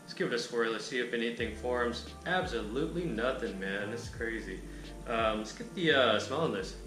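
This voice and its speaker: A man reviewing a beer in a relaxed, rambling way with a raspy voice. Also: mid-range voice, normal speed, roomy sound, over music. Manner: laid-back, rambling, lots of filler words. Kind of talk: casual chat.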